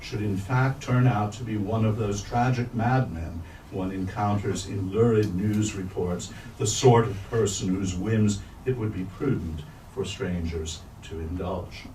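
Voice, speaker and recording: A man reading literary prose aloud at a bookstore event in measured, deliberate phrases. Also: on the low side, mellow. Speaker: reader at a staged literary reading. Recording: roomy, distant mic, faint noise.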